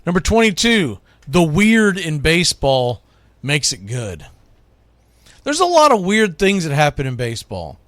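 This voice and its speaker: A man's voice: on the high side, wildly swooping pitch, measured pace, fairly bright tone, dry recording. A man from the United States hosting sports radio, talking casually and with firm opinions as he counts down a list, leaning on key words.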